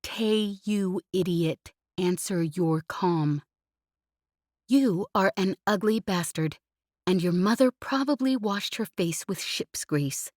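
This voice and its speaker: A woman's voice: medium pitch, slow, a little mellow, no room sound, studio quality, close to an everyday voice. A woman narrator voicing a brash young woman pilot who chatters cheekily and won't stop talking, in an audiobook reading. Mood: teasing.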